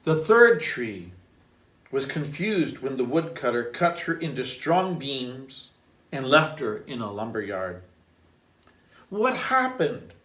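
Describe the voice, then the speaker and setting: A man, a priest, reading a children's story aloud in an expressive, deliberate way and adding reflective asides. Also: medium pitch. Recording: roomy echo, distant microphone.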